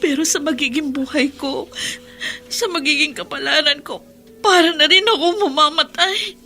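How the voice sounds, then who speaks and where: A woman's voice: high-pitched, moderate pace, bright, forceful tone, soft background music. A woman playing a wounded, defiant young daughter in a radio drama, with an emotional, melodramatic delivery. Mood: tearful, despairing. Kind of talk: Tagalog radio drama acting.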